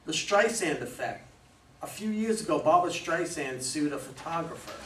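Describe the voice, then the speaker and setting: A man's voice: fairly high pitch, lively intonation, moderate pace, a bit bright. A man playing a composed, knowing consultant who questions and instructs his clients in a staged play reading. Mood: instructive. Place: room at a live readers' theater reading. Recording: echoey room, distant mic, faint noise.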